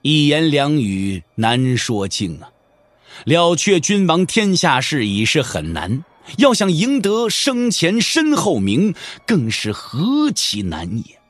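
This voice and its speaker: Slow, highly animated male storyteller. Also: no echo. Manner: measured, expressive storytelling. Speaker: narrator of an audio drama. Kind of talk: dramatic scripted narration of a wuxia novel. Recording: music under the voice. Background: background music.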